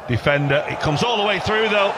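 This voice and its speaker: Energetic play-by-play football commentator, man. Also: high voice, normal speed, background noise. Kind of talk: live match commentary.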